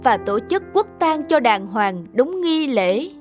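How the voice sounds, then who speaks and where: A woman's voice: sits high, very animated, slow, heavily acted. A woman voicing a remorseful, dignified emperor in a historical cartoon, with formal, commanding delivery. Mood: regretful. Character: remorseful, dignified emperor in an old royal court. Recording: music behind the voice.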